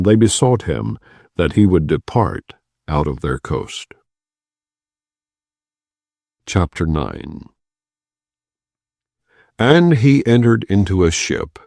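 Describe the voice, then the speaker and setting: A man giving a measured, solemn scripture reading. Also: deep voice, creaky, no echo, studio quality. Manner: measured, solemn, expressive intonation. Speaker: scripture reader.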